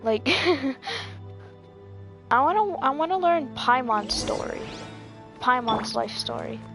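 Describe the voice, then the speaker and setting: A woman's voice: sits high, expressive, slow, fairly bright tone. A woman streamer chatting casually while playing a game, giggly and playful. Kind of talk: casual gameplay stream chat. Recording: game music in background.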